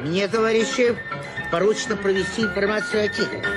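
An actor playing a boastful, bluffing tiger tamer in a radio play, pompous and halting as he lectures. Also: high-pitched, steady tone, loud music behind the voice, natural voice. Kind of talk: Russian radio play acting.

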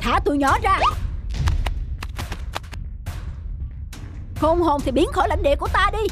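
A woman voicing a gruff but kindly old male vulture in a cartoon, scolding like a grandfather. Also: very high-pitched, very fast. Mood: stern, angry. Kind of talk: cartoon voice acting. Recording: music behind the voice.

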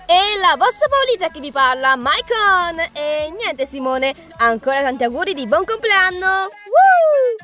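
A woman's voice: extremely high pitch, big pitch swings, a little slow, few pauses, sharp tone, faint music behind. A woman recording a cheerful birthday greeting.

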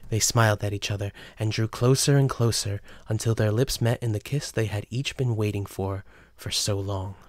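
A man's voice: fairly low pitch, steady tone, moderate pace, few pauses, no echo, clean studio sound. A man narrating a scripted story for an audio drama.

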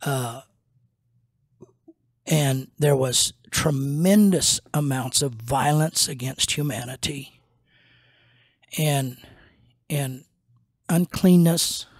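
Slow husky older man teaching. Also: medium pitch, no echo, clean studio sound. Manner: slow, rambling, many fillers and restarts. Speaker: pastor and podcast host. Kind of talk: unscripted teaching talk. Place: small wood-paneled room indoors. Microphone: studio mic on a boom arm.

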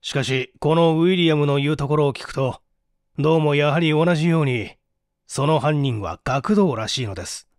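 A man playing a matter-of-fact police detective in a read-aloud story, explaining things in a measured way. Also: on the high side, no echo, studio quality, natural voice. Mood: explanatory.

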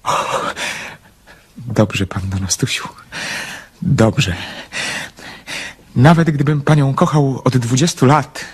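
A man playing a gentle young dreamer in love in a radio play, with a husky, breathy voice and a halting, earnest, tender manner. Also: medium pitch, animated. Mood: tender devotion. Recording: faint music under the voice.

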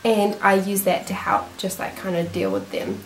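A woman's voice: mid-range voice, flat delivery, moderate pace. A young woman with a New Zealand accent talks through her skincare products in a chatty, enthusiastic way. A cold leaves her voice nasal. She sits in a small room facing a camera, and the room gives the sound a noticeable echo. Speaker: beauty vlogger. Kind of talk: casual product review talk. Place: small room indoors, seated. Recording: roomy echo.

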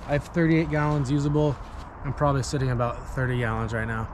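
A young man pilot chatting casually outdoors on a river gravel bar, eager and sometimes searching for words. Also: medium pitch, fast. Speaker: pilot vlogger. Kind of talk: casual chat. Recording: outdoor noise behind.

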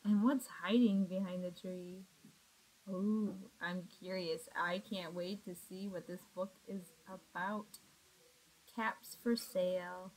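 A woman in her thirties reading a children's picture book aloud in an expressive, deliberate manner, recorded indoors at arm's length from a computer mic. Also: fairly low pitch, rough recording. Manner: expressive, deliberate, asks the young listeners questions. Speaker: storybook reader. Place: small indoor room with block walls. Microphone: webcam or laptop at arm's length.

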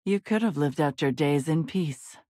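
Stern, low-voiced gang leader. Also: female voice, expressive, moderate pace, dark tone, no room sound, studio quality. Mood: cold resolve. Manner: terse, cold, controlled. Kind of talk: video game character acting.

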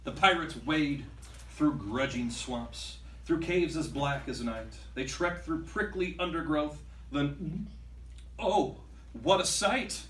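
A man in his thirties reads a picture book aloud to young children in an expressive, playful way, with chatty asides. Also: fairly high pitch, slow, far from the mic. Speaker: guest reader for a class of young children. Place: school classroom, standing before seated children. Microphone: distant camera microphone. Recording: echoey classroom from afar, faint noise.

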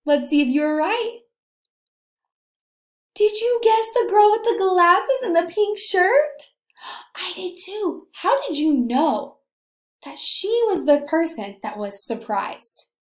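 Young lady teacher talking to little kids, really high voice, upbeat and encouraging, kinda echoey webcam room. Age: young adult. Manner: animated, encouraging, asks questions and pauses for answers. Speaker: early elementary teacher. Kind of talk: read-aloud video lesson for young children.

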